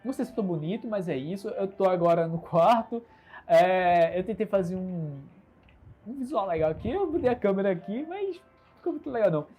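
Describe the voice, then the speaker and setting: Young Recife entrepreneur hosting his own livestream: self-assured, earnest, mellow husky voice, recorded on a phone. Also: sits high, animated, brisk, soft background music. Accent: Recife Brazilian Portuguese. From Recife, Brazil. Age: 20s. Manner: earnest, upbeat, self-assured, gestures a lot. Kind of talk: casual solo livestream talk. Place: bedroom. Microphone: smartphone camera.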